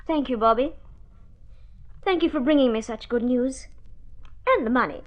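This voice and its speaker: An actress in a mid-century radio drama playing a gentle, independent woman, polite, earnest and restrained in manner. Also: high voice, normal speed, highs cut off, close to an everyday voice. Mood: gentle, regretful.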